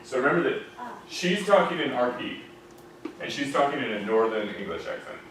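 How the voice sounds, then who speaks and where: A man's voice: mid-range voice, sing-song, a little fast, fairly bright tone. A male teacher casually explaining a video to his class. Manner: casual, guiding students. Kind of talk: classroom commentary over a video. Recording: roomy classroom, far from the mic, faint noise.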